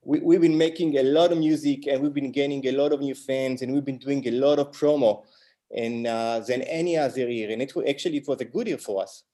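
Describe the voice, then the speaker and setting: A man's voice: medium pitch, brisk, mellow. Israeli-accented man telling stories casually. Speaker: band musician, interview guest. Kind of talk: casual interview chat, storytelling.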